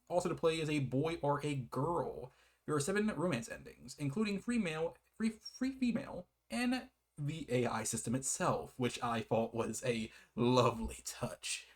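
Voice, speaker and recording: An American man talks through a game review in a loose, casual way. He sits at a middle pitch and tends to double back, correct himself and excuse his own uncertainty. He sounds keen on the parts he likes. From United States. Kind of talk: casual rambling review, partly reading game blurbs.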